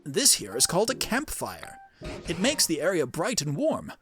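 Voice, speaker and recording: A man voicing a kindly, warm-hearted old professor creature in a video game, with folksy, avuncular phrasing. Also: on the high side, wildly swooping pitch, moderate pace, music in the background. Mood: explaining.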